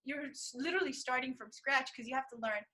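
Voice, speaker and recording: A young woman hosting an interview, chatty and animated, sharing her own student experiences. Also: high voice, fast talker. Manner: chatty, lots of fillers, animated. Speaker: interview host, medical student. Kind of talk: casual interview conversation.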